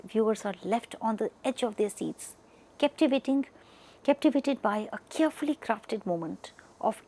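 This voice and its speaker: A woman with an Indian accent reads a prepared lecture as an educator. Her medium-pitched voice has a noticeable creak. She is deliberate and instructive, leaning on the first words of each new point. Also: darkish tone, low-quality recording. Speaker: media educator presenting a lecture. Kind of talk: scripted lecture narration over slides.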